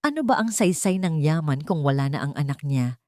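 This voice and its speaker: A woman's voice: low-pitched, expressive, fairly quick, a little mellow, no echo, studio quality. A woman narrating a dramatic romance audiobook from a script.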